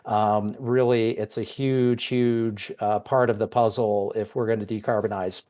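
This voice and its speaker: A man's voice: lowish voice, level tone, measured pace, warm tone. Man, event host, casual introduction, frequent fillers. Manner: informal, with filler words. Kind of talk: introducing a speaker.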